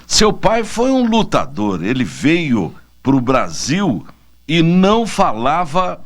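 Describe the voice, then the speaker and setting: A man's voice: a little high, wildly swooping pitch, slow. A Brazilian man hosting a casual radio interview, chatting and putting questions to his guest in a conversational way.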